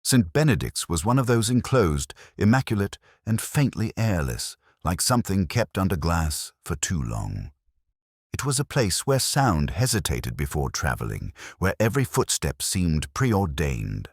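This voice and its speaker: A man narrating a literary first-person detective drama as a melancholy, world-weary investigator, in a measured, brooding, solemn way. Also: low-pitched, big pitch swings, no room sound, clean studio sound.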